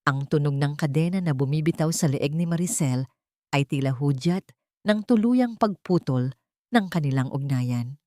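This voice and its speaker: A woman's voice: deep voice, normal speed, dark tone, dry recording, studio quality. A woman reading a scripted dramatic story as an audiobook narrator.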